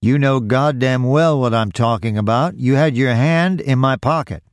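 Story role: man playing irate male victim demanding his due, accusing, confrontational, pitched up, dry recording. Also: moderate pace, no room sound, lightly acted. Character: angry, accusing male robbery victim on subway. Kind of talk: acted dialogue in a crime story reading.

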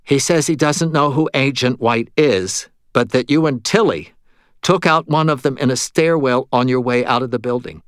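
Man voicing a high-pitched, nervous, put-upon medium. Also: normal speed, clear, resonant tone, no room sound, clean studio sound, close to an everyday voice. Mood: careful. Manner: pleading, hesitant, placating. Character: nervous, put-upon bald male medium, apologetic and weary.

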